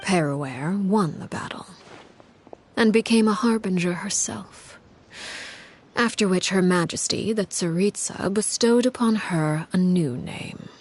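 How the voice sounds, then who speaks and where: An actress voicing a cold, composed woman commander who recounts a grim past in a low, measured, often hushed voice, in a video game. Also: slightly rough. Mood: solemn. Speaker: Arlecchino, a Harbinger. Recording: game audio with faint background score.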